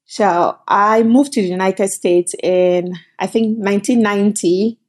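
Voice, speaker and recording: A middle-aged woman from Cameroon, a company founder telling her story as a guest in an interview, lively and quick in bursts. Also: mid-range voice. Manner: animated, storytelling, quick bursts, laughs often. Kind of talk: conversational interview.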